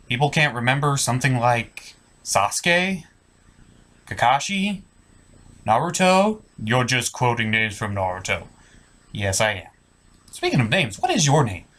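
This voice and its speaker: A man's voice: mid-range voice, sing-song, slow, pauses often, a bit bright. A man voicing a puppet butler character in scripted comic banter, formal, exasperated and full of questions. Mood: incredulous, deadpan. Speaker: sidekick in puppet review show.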